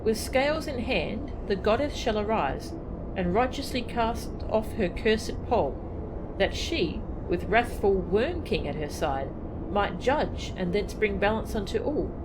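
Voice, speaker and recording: A woman reading the lines of a young woman who recites an old prophecy in a measured way, with game music behind. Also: mid-range voice, animated, noisy background, lightly acted. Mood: solemn.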